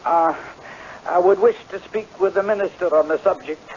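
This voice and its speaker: A man playing an anxious, excitable Scottish piper who loves his whisky, in a theatrical radio drama with a Scottish accent. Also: sits high, moderate pace, close to an everyday voice. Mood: anxious, deferential. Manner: theatrical, exclamatory. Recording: muffled, noisy old radio broadcast.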